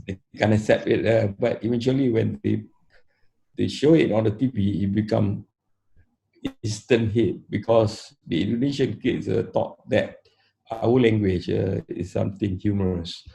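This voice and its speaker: Older malaysian guy, dark mellow voice, lots of uh pauses, just chatting calmly in an interview. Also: on the low side, vocal fry, slight background noise, poor audio. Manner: unhurried, frequent "uh" pauses, plain matter-of-fact phrasing. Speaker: interview guest, company founder. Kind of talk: relaxed interview storytelling.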